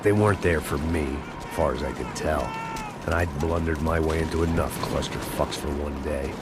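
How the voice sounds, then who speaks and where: Deep-voiced, sardonic, burnt-out ex-cop narrating a game; loud score behind. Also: male voice, normal speed, loud music behind the voice. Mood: weary. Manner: bleak, sardonic, world-weary. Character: weary hard-drinking ex-cop bodyguard, older man. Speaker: noir narrator and lead character. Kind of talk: video game cutscene voice acting. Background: loud cinematic score.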